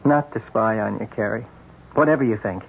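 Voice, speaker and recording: A man's voice: on the low side, brisk, gravelly, husky. A man acting the part of a bitter, self-pitying, jealous lame husband in a 1970s radio drama, with a sarcastic, wounded manner. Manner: sarcastic, wounded, theatrically pointed. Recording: tinny, noisy 1970s radio broadcast.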